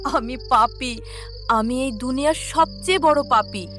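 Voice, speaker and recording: A woman's voice: high-pitched, unhurried, fairly bright tone, soft background music. A woman voicing a proud mother broken by guilt, lamenting in a melodramatic cartoon story. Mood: anguished remorse. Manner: anguished, self-condemning lament.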